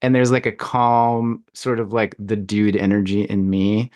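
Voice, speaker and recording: A man's voice: fairly low pitch, level tone, a little slow, a little mellow, no echo. A man hosting a podcast, chatting in an informal, loosely phrased conversational way. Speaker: podcast host, interviewer. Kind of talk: casual chat.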